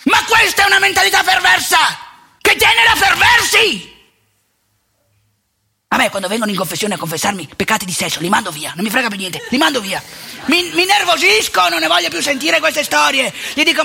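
A woman playing a candid, indignant elderly male priest in a theatrical monologue, with a rough voice and colloquial, emphatic storytelling. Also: high-pitched, animated, sharp tone, exaggerated voice. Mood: exasperated. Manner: colloquial, confiding, emphatic, with dramatic pauses. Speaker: stage actor and monologuist.

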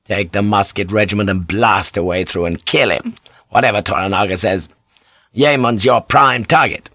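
A male narrator plays an aging samurai warlord from an audiobook, low in pitch and recorded close and dry. He is proud and scheming, issuing orders with imperious, emphatic force. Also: normal speed, sharp tone, no echo. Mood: stern, urgent. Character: fierce, scheming older samurai lord, proud and commanding. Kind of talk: audiobook character acting.

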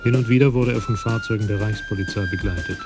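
A man narrating a radio crime play in a measured storytelling manner. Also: lowish voice, steady tone, over loud music.

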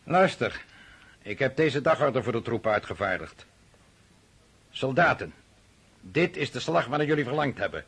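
A man acting an imperious, brooding French emperor on campaign in a radio play, with a commanding, declamatory manner and a husky voice. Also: mid-range voice, sing-song, normal speed, long pauses, fairly bright tone, no room sound, heavily acted. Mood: proud, commanding.

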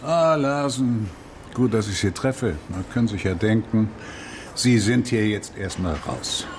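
A man's voice: lowish voice, unhurried, slight background noise, natural voice. An actor playing a patronising newspaper publisher in a radio play, chummy and pompous, drawing out his words. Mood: coolly dismissive.

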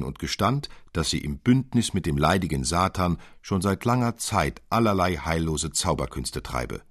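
A man narrating a literary tale in an expressive, deliberate, old-fashioned storytelling manner. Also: very deep voice, dry recording, studio quality. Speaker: audiobook narrator.

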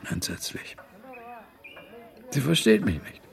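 An elderly friend in a radio drama, helpless and grieving. He speaks slowly in a very creaky voice, halting and repeating himself as he wearily pleads. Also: mid-range voice, wildly swooping pitch, heavily acted. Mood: sad, pleading. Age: older. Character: sorrowful, helpless old man, pleading friend. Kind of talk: radio play acting.

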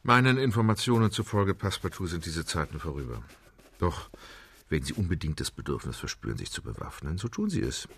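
An actor playing a dignified, formal English gentleman who speaks in clipped, composed sentences. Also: deep voice, big pitch swings, brisk, slightly rough, exaggerated voice. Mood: composed, proud. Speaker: Phileas Fogg.

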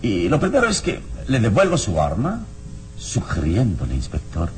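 An actor playing a serene, enigmatic and courteous male hero in a radio drama, speaking in a measured, formal, theatrical way on a noisy old recording. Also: fairly low pitch, wildly swooping pitch, darkish tone. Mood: serene, assured.

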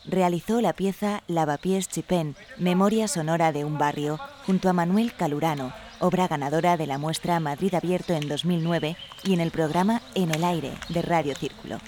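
A radio host reading scripted narration in a measured, introductory way. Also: mid-range voice, a little mellow. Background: music.

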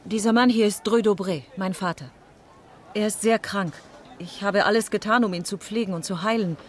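Actress doing composed french noblewoman in a german radio mystery, formal and measured, mid pitch. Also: lightly acted. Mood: grave, controlled concern. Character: composed aristocratic French daughter, outwardly devoted to her sick father. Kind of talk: German radio crime drama acting.

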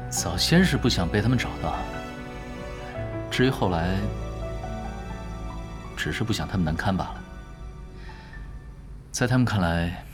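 A man playing a nonchalant, confident young pro gamer in a Chinese radio drama, teasing and quietly assured. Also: sits low, lively intonation, unhurried, long pauses. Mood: subdued. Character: laid-back, confident young male pro gamer who ran away. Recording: music behind the voice.

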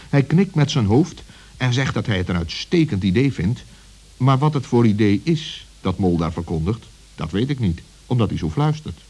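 A man with a husky voice narrating a scripted children's story as a storyteller. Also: mid-range voice, darkish tone.

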